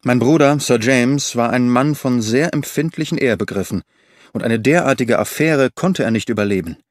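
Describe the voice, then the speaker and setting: A man's voice: medium pitch, normal speed, no echo, natural voice. A man reading the part of a distraught middle-aged brother in an audiobook, formal and strained, in a dry recording.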